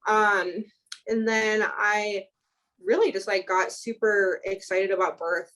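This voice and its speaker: A young woman from Chicago talks casually as a podcast guest. She chats freely and peppers her speech with "like". Her voice sits at a middle pitch. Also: fairly even intonation, takes it slowly, a bit bright, low-quality recording. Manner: chatty, many "like" fillers. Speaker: student midwife, guest. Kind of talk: casual interview chat.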